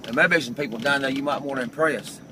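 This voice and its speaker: A man's voice: mid-range voice, quick pace, echoey room, noisy background. A man, a pianist and singer, talking in a folksy, joking way on a reality show. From Georgia, US.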